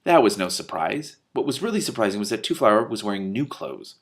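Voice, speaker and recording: A man's voice: fairly low pitch, sing-song, fairly quick. A man reading a comic novel aloud, stumbling and restarting on words and breaking into disbelieving outbursts. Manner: breaks off narration with loud disbelieving swearing. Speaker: reader of a novel with commentary.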